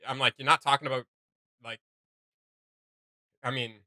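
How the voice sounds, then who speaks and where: A man hosting a reaction video, chatting casually in an animated, rambling, speculative way. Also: mid-range voice, normal speed, no echo. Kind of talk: casual chat, reacting to game voice lines.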